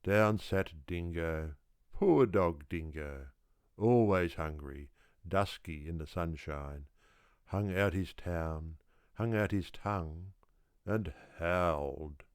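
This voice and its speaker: A man with a very deep voice narrates a children's story. His delivery is slow and deliberate, with rhythmic, theatrical phrasing. The recording is close and very dry. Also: clean tone, no echo. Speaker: audiobook reader.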